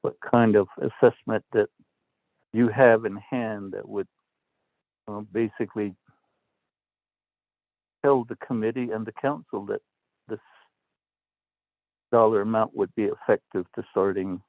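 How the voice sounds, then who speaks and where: A man delegate questioning an official at a committee meeting, slow, deliberate and stern. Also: on the low side, fairly even intonation, no echo. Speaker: council delegate. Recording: dry tinny phone line.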